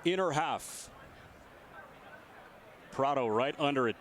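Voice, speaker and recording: A man's voice: mid-range voice, expressive, slow, fairly bright tone, a faint crowd. Man calling baseball over ballpark noise. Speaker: radio baseball play-by-play announcer. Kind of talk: live baseball play-by-play commentary. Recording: outdoor stadium ambience behind voice. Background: ballpark ambience.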